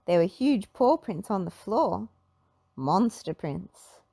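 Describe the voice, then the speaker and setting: A woman narrating a children's storybook in an animated read-aloud style. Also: mid-range voice, measured pace, vocal fry, slightly rough, no room sound, clean studio sound.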